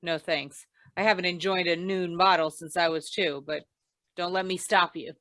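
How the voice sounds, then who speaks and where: A woman playing a wry, deadpan female insurance investigator in old-time radio noir style. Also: fairly low pitch, moderate pace, dry recording, close to an everyday voice. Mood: dry, probing. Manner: deadpan, wisecracking.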